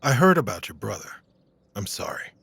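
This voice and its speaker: A man playing a stern but compassionate knight with a gravelly, husky voice in a fantasy audio drama. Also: lowish voice, big pitch swings, a little fast, a bit bright, no echo, exaggerated voice. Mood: sympathetic.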